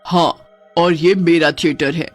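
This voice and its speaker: Woman acting a slow, menacing witch. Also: fairly low pitch, fairly even intonation. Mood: gloating. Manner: slow, drawn-out taunting. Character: menacing gloating female witch haunting her theater. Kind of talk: Hindi horror cartoon voice acting. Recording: music under the voice.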